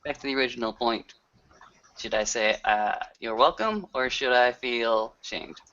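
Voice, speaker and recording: A man's voice: medium pitch, unhurried, bright, forceful tone. A man playing a suspicious male werewolf in a tabletop game, questioning bluntly. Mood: probing. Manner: blunt, interrogating. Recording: dull lo-fi voice recording.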